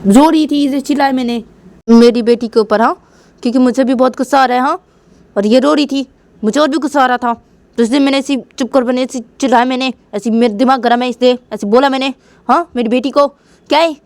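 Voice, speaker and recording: A woman's voice: mid-range voice, normal speed, long pauses, mellow, natural voice. A woman voicing a hot-tempered, bossy young mother in a told family story, scolding and repeating her demands. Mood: defiant, irritated.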